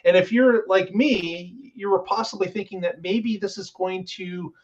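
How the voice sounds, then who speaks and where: A man's voice: high-pitched, a little slow, highs cut off. A man hosting a casual panel discussion, explaining things as he goes. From Canada. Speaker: show host.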